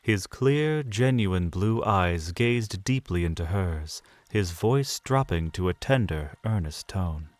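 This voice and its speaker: A man narrating an audio drama in an expressive storytelling manner. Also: low-pitched, takes it slowly, few pauses, dry recording.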